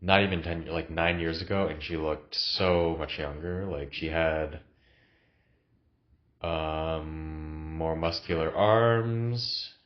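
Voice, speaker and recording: A man's voice: rumbling low, fairly even intonation, takes it slowly, muffled recording. A man with a cough, recording a casual, reflective vlog in his new apartment, talking hesitantly with many fillers. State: has a cough. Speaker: vlogger.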